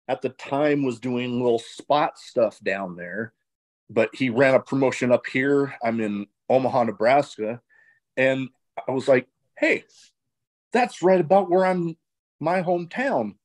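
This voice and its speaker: A man's voice: medium pitch, a little slow. Male podcast host, laid-back and chatty, easy conversational tone. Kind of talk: casual interview chat.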